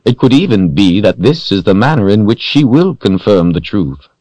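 A man voicing a smug, villainous sorcerer in an audiobook, taunting and deliberate, with theatrical mock courtesy. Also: lowish voice, big pitch swings, darkish tone, no echo, pitched above the performer's own voice. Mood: silky threat. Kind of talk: audiobook character voice.

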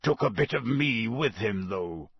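A man in a storybook reading, voicing a gruff, sardonic veteran wizard lawman in a growling mutter. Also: fairly low pitch, expressive, drawn-out words, dry recording, clean studio sound, full character voice. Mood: muttered contempt.

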